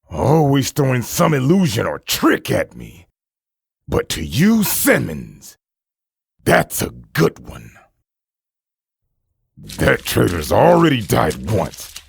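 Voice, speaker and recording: A hardened killer, played by a man in a comic dub. He speaks slowly in a gravelly, badly rasped voice, full of scorn and defiance, sneering at whoever faces him. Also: fairly low pitch, huge pitch swings, no echo, clean studio sound, heavily acted. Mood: scornful disbelief. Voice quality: gravelly, raspy. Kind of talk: comic dub dramatic acting.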